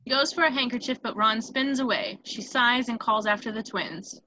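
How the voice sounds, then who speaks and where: Woman narrator, scripted stage directions, bright tone, highish pitch, some background noise. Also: moderate pace, poor audio. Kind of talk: scripted narration at a table read. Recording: noticeable background noise on home mic.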